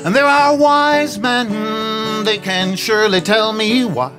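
An older man, a singer-songwriter, singing his own songs into a stand mic on a small cafe stage, with soft, halting spoken introductions between songs. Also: sits high. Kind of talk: singing original songs with acoustic guitar. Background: his own acoustic guitar.

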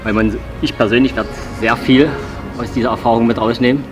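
A man from Leipzig giving a sincere, somewhat halting testimonial. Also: mid-range voice, fairly even intonation, over music. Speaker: seminar participant. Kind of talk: interview testimonial. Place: indoor sports hall.

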